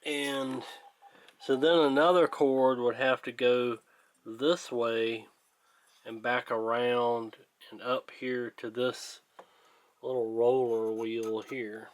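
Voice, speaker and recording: A man with a mid-range voice talks through hands-on repair work in a casual, plainspoken way. He thinks aloud in halting, folksy phrases. The sound is close and dry, with very little room echo. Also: medium pitch, flat delivery. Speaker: radio repair hobbyist and video host. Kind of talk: casual hands-on workshop commentary.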